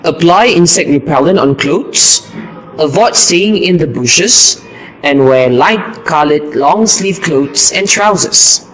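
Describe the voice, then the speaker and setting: A man playing an earnest, heroic mosquito destroyer in an amateur puppet show, with a deliberate, recited delivery. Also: a little high, expressive, fairly bright tone, low-quality recording.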